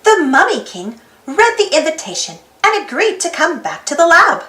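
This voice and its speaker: Animated, very high-pitched woman reading stories. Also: moderate pace. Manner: expressive, theatrical, exaggerated delivery. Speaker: storybook read-aloud channel host. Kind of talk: animated children's storybook read-aloud. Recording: roomy echo with music behind. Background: music.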